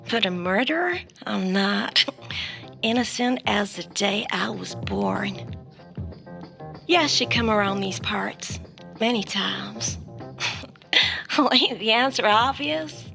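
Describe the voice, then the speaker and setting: Slow, creaky, sultry madam. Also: female voice, medium pitch, bright, forceful tone, heavily acted. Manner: teasing, drawling, with folksy turns of phrase. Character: sultry, sly, taunting madam, a murder suspect. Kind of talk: noir radio play acting.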